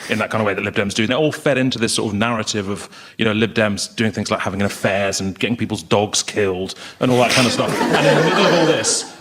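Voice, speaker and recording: Male comedy panellist, very quick, lowish-to-mid ordinary male register slightly under average, rambling, wry, conversational anecdotes. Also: fairly bright tone.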